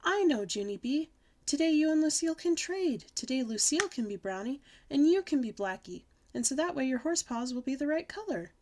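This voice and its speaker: A woman voicing an eager, excited little schoolgirl in a children's audiobook. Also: high-pitched, fairly quick, slight background noise, voice pushed up. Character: eager, bright-eyed little schoolgirl friend. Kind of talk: children's audiobook character reading.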